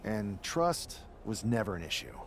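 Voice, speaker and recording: An actor playing a loyal, wary, determined wrestler in a video game, sincere and conversational. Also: male voice, on the low side, sing-song, a little slow, creaky, slight background noise, heavily acted. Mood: confident. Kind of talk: scripted video game cut-scene dialogue.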